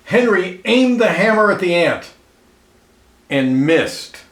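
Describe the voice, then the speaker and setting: A man reading a children's picture book aloud expressively, pausing to comment and ask listeners questions, in an echoey room. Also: high voice, big pitch swings, a bit bright. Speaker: storybook reader. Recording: roomy, echoey indoor sound.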